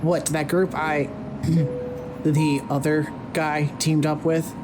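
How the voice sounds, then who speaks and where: A man's voice: on the high side, little pitch movement, slow pace, over music. A man playing a weary, guarded fugitive in an audio drama, talking in a casual, subdued way. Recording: noisy street ambience with vehicles.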